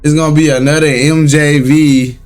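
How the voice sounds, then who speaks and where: Slightly raspy, laid-back young male vlogger. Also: on the high side, little pitch movement, normal speed, darkish tone, faint music behind. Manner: rambling, laid-back slang, chuckles often. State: hoarse, voice recovering. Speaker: reaction channel host. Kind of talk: casual chat to viewers. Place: home room. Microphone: desk setup at a laptop.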